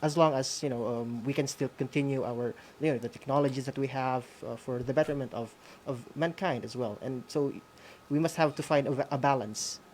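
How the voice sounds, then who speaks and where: A man with a Filipino accent hosting an educational radio discussion, halting as he rephrases his points. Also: mid-range voice, darkish tone, slight background noise. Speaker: host of a radio school program.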